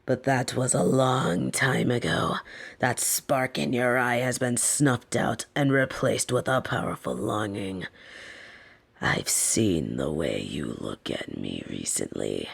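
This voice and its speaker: A woman voicing a soft but dominant lamia captor in an intimate audio roleplay, breathy and often whispering, condescending and possessive. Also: exaggerated voice. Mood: seductive, taunting. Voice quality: breathy, often dropping into whispers and creaky low tones. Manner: slow, teasing, patronizing.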